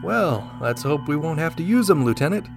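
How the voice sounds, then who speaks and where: A man's voice: fairly high pitch, lively intonation, moderate pace, creaky, music in the background. A man playing a dashing, self-assured starship captain in a radio drama, speaking with confident command. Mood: calm, wary.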